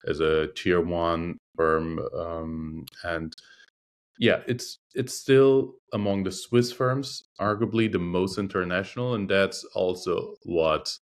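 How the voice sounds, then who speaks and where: A man who is a native Russian speaker, a lawyer guest speaking in a measured, thoughtful interview manner with frequent hesitations. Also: deep voice, fairly even intonation. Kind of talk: conversational interview.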